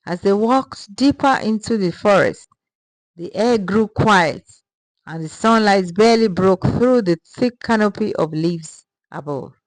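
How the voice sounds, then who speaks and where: A woman reads a folktale as a scripted narrator. Her pace is slow and measured with a dramatic storytelling manner, and the sound is dry and close. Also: mid-range voice, lively intonation, dry recording, poor audio.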